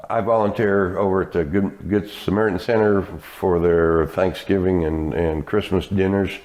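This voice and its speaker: Older man, deep low voice, relaxed guest storyteller who laughs a lot. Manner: laughs often while telling stories. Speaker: interview guest, village staff and volunteer. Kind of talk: casual storytelling interview.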